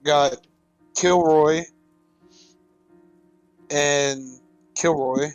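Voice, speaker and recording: Playful man with a fairly high voice. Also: steady tone, very slow, no echo, faint music behind, rough recording. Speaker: player in a tabletop roleplaying game. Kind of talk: casual, playful roleplay chat.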